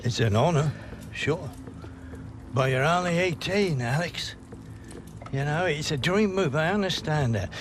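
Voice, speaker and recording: A cautious, worried grandfather in a cinematic game scene. His older voice sits slightly high as he gives advice. Music plays clearly behind him. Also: animated, unhurried. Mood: concerned. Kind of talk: cinematic game cutscene acting.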